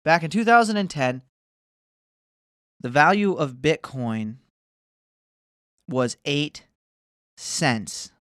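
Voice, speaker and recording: Brief: man in his twenties, casual rambling vlog rant, heavy swearing, smooth clean tone, close and dry. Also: a little high, big pitch swings, slow, pauses often, a bit bright, no echo. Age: 20s. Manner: profane, rambling, swears often; mocking, bragging, jumps between asides. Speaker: vlogger.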